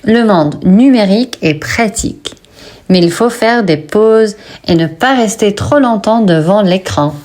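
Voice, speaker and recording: A woman who teaches French and wrote a textbook, reading vocabulary and example sentences slowly for a pronunciation lesson. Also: mid-range voice, lively intonation, a little mellow. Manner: slow, deliberate word-by-word reading.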